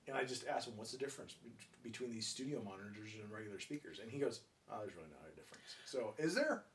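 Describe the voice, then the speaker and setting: A man's voice: on the low side, expressive, fast talker. A man chatting casually as a co-host, agreeable and inquisitive, recorded at a distance from the microphone. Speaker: audio shop owner, co-host. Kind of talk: casual conversational chat.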